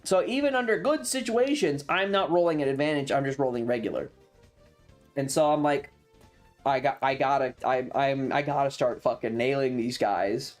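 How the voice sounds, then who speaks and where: A mid-pitched streamer recounts a tabletop adventure to a live chat, with music playing behind. The delivery is casual and sweary, leaning hard on key words and repeating them for dramatic effect. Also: moderate pace. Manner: emphatic, repeats phrases for drama. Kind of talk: animated storytelling to a livestream chat about a tabletop game.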